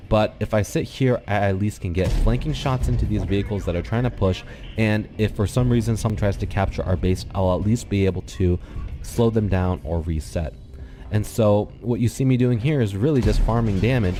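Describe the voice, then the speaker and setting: Deep-voiced, congested man narrating a game replay. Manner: calm, explanatory, thinks aloud with ums. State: congested, slightly nasal. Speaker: gaming channel host. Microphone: desktop USB microphone.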